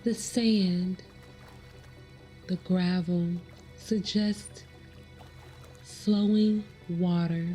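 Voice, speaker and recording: A woman leading a guided meditation in a measured way, pausing between short phrases. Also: on the low side, steady tone, warm tone, faint music behind. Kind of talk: slow guided meditation narration.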